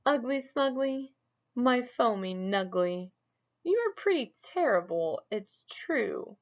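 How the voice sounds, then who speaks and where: A woman's voice: on the high side, big pitch swings, slow, voice pushed up, exaggerated voice. A woman voicing a talking magic mirror in a storybook, speaking in sing-song rhymes. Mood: teasing. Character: magic talking mirror, flattering then blunt. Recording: dull lo-fi recording.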